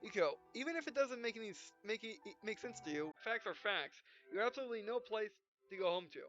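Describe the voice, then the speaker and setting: A man's voice: high-pitched, lively intonation, fast, fairly bright tone. A man doing a let's play, reading game dialogue aloud in a rambling, stumbling way with filler syllables and asides. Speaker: gaming video host. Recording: muffled lo-fi mic, music behind. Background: game music.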